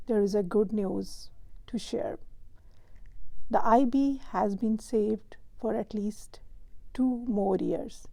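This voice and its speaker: Woman, host, fairly high voice, gravelly fry, measured scripted reading. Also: mellow, no echo, low-quality recording. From Pakistan. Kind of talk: scripted explainer narration.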